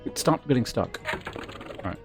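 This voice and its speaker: Informal male game streamer, faint music behind. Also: moderate pace. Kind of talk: casual playthrough commentary and reading game text aloud.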